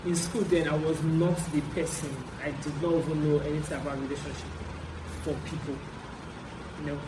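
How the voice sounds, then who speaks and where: A young adult man with a Nigerian accent tells stories casually, in an animated way, repeating phrases for emphasis as a vlogger. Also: fairly high pitch, moderate pace. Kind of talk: casual story-time chat. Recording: roomy echo, clear background noise.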